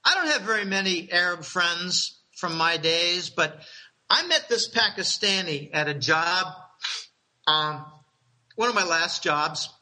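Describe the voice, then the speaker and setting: A man's voice: sits high, lively intonation, unhurried, pushed and bright, poor audio. A middle-aged man casually telling rambling, conspiratorial stories, chuckling and restarting his sentences.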